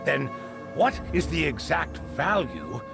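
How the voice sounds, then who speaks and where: An actor playing a bitter, hard-nosed senior craftsman in a video game, speaking in a scornful, deliberate, theatrical way. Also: fairly high pitch, wildly swooping pitch, creaky, music in the background, exaggerated voice. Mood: cold, bitter. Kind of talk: dramatic video game voice acting.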